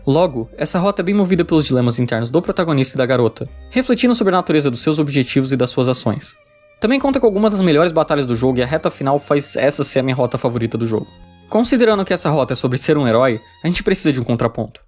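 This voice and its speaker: A Brazilian-accented man narrates a scripted but casual game review. His voice sits fairly high and he moves quickly, with music faintly underneath. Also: animated, a little mellow.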